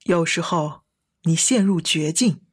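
A woman's voice: fairly low pitch, measured pace, breathy edge. A woman narrating a scripted radio drama in a lyrical storytelling manner over music. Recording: loud guitar music behind the voice.

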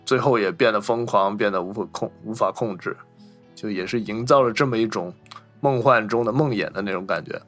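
A man's voice: lowish voice, fairly quick, a bit bright, soft background music. A man co-hosting a casual film discussion, explaining and restating his points as he goes. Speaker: podcast co-host.

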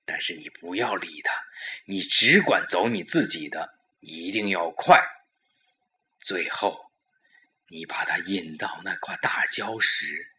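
A man playing a smug, scheming murder plotter in a suspense audio drama, coaxing his lover with emphatic, deliberate instructions. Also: deep voice, lively intonation, sharp tone, exaggerated voice. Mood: instructing.

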